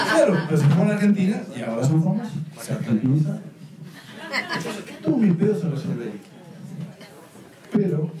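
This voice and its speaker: A man's voice: fairly high pitch, far from the mic. A man in his forties from Uruguay with a Uruguayan accent, doing a rambling, self-mocking stand-up routine into a handheld mic on a club stage. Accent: Uruguayan Spanish. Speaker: stand-up comedian. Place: dark comedy club stage with live audience. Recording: roomy club sound, audience laughter.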